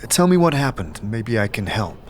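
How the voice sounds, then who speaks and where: A man's voice: lowish voice, very animated, normal speed, a little hiss or hum. A man playing a scarred, reserved but sympathetic wanderer who asks brief questions in a fantasy audio drama. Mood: concerned.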